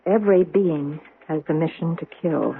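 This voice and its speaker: An actress playing a sharp-witted, bookish older American woman in a radio drama, speaking precisely with wry emphasis. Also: deep voice, normal speed, gravelly, husky, narrow, tinny audio, exaggerated voice. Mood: pondering, intent. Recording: thin band-limited old radio recording.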